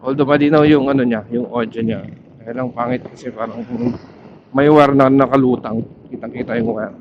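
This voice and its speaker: A man with a Filipino accent vlogging casually while riding a motorcycle, pausing often to search for words, speaking into a helmet intercom mic. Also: mid-range voice, fairly even intonation, dull audio, background noise. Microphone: helmet intercom headset.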